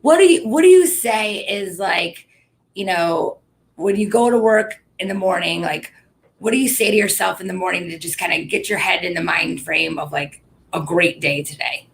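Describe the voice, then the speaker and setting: A woman's voice: on the low side, normal speed, vocal fry, slight background noise, low-quality recording. A woman hosting a casual interview, chatty and filling her sentences with "like.". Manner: chatty, lots of filler "like". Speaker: host.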